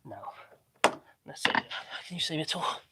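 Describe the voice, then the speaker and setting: A man's voice: a little slow, heavy background noise. A man in his fifties with a Norfolk accent, chatting casually and rambling on a live stream, laughing often. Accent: Norfolk English. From Norfolk, England. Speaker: livestream guest. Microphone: camera on tripod.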